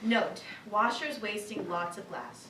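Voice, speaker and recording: A woman's voice: medium pitch, slow, natural voice. A woman playing an earnest young new park manager, polite and a little hesitant, in a staged cartoon reading. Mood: note-taking. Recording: distant echoey stage, faint noise.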